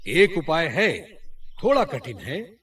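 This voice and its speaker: A man voicing a benevolent god who gives measured, concerned advice in a children's story. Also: fairly high pitch, wildly swooping pitch, a bit bright, slightly rough, distant mic, full character voice. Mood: concerned, advising. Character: benevolent male god advising a troubled tree.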